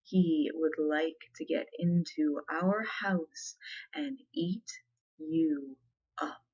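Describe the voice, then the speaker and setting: A loving mother goose from a storybook , voiced by a woman in hushed ASMR style, gives gentle, careful warnings. She speaks very slowly in a slightly low voice, with a faintly dull sound. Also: big pitch swings, slightly rough, heavily acted. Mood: tender warning.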